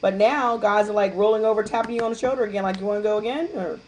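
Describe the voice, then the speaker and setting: A woman with a middle-pitched voice chats frankly on a group call, telling long stories and slipping into the voices of people she quotes. She talks in an easy, open, unguarded way. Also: steady tone, very fast, darkish tone, a little hiss or hum, poor audio. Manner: candid, chatty storyteller who acts out quotes. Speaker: guest distributor sharing her experience. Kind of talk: casual chat on a conference call.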